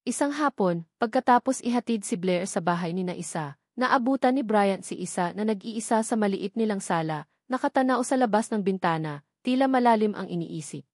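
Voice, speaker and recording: A woman's voice: medium pitch, expressive, fairly quick, no echo, clean studio sound. A woman with a Filipino accent reading a scripted romantic drama story in an emotive, dramatic storytelling manner, with short emphatic phrases. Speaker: story narrator.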